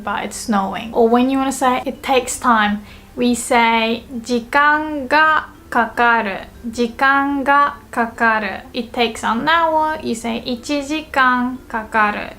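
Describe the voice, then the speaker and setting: A woman's voice: on the high side, vocal fry, a bit bright, slight background noise. A woman with a Japanese accent, a native Japanese speaker, giving a casual language lesson and patiently repeating example sentences.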